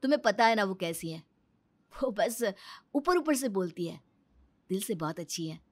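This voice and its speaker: A woman's voice: medium pitch, expressive, moderate pace, no echo, lightly acted. A woman voicing a protective, caring and firm brother in a story, speaking emphatically and reassuringly.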